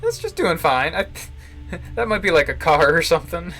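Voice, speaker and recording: A man giving casual, halting live commentary on a visual novel, chuckling to himself as he rambles. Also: a little high, wildly swooping pitch, fairly bright tone. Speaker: game streamer, host. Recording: game music behind the voice. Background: video game music.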